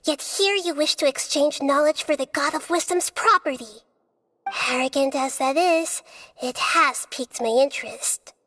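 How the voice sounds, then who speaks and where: An actress playing a youthful, dignified goddess of wisdom in a video game, speaking in a measured, formal way. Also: female voice, high-pitched, a bit bright. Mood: composed, firm. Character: small female god of wisdom, youthful, calm, dignified. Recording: long hall reverb.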